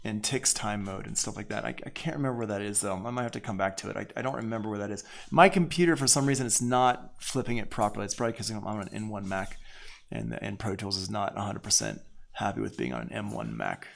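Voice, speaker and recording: A man's voice: fairly low pitch, quick pace, creaky. A man teaching audio production in a casual live online tutorial, thinking aloud informally as he works.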